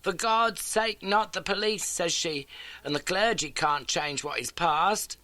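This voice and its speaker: A man's voice: high voice, a little slow, pushed and bright, dry recording, pitched above the performer's own voice. A man voicing a tormented, secretive woman in an audiobook, with dramatic, urgent pleading. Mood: desperate, urgent. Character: tormented, secretive woman lodger, ailing and desperate. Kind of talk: audiobook character acting.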